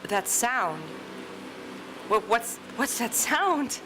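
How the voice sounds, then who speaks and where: An actress playing a woman who is charmed as she turns into a bee, speaking in slow, breathless wonder. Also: on the high side, very animated, background noise, heavily acted.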